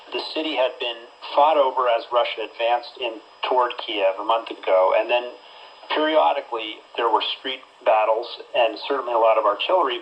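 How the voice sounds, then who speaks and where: A man giving an unscripted eyewitness account as a correspondent, halting and repeating words as he goes. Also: mid-range voice. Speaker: newspaper correspondent. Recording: dull, faintly noisy radio interview line.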